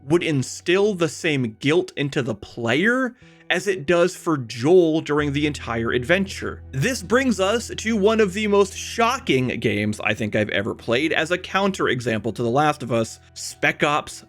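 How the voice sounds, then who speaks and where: A man's voice: a little high, very animated, a little slow, a bit bright, soft background music. A man delivering a scripted video essay about games, with emphatic, opinionated, conversational phrasing and casual asides.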